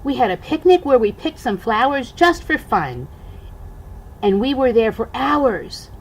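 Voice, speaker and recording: An older woman, a grandmother, reading a rhyming children's storybook aloud in an expressive, singsong way. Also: a little high, unhurried, husky, low-quality recording.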